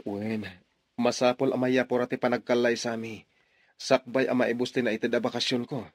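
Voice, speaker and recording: An actor with a Filipino accent playing a devoted, determined young lover in a radio drama, speaking earnestly. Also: male voice, mid-range voice, flat delivery, brisk, dry recording, clean studio sound, lightly acted.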